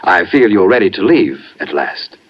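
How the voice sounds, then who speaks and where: Male as sinister sanatorium doctor: very low voice, measured and condescending, muffled vintage radio sound. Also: very animated. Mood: firm, controlling. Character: smooth, controlling male phony doctor from a rest home. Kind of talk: 1950s radio drama acting. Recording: muffled 1950s radio recording.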